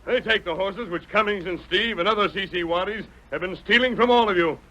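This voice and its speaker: A man's voice: high voice, fairly quick, bright, forceful tone. A man playing a commanding, upright masked cowboy hero in an earnest, authoritative old-time western radio drama style. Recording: dull vintage radio recording with noise.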